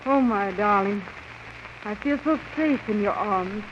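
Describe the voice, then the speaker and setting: An actress playing a young damsel heroine with breathless, melodramatic delivery in a 1930s radio serial, on a hissy old recording. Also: female voice, medium pitch, unhurried, highs cut off, natural voice. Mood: tender.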